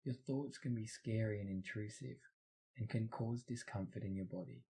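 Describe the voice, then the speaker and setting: A man with a fairly low voice and a very mellow, relaxed tone leads a guided meditation. He reads scripted instructions in a soothing way, guiding the listener step by step. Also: normal speed.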